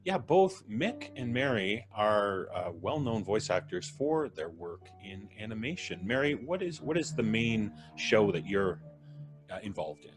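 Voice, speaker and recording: A man's voice: on the low side, big pitch swings, a little slow. A man hosting a casual live podcast in a chatty, joking way. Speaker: podcast host. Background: clear music.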